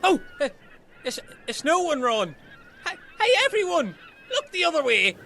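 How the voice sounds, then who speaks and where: A man playing an excitable, anxious, chatty young man in a comedy audio play. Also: very high-pitched, moderate pace, creaky, a little hiss or hum, full character voice. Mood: flustered.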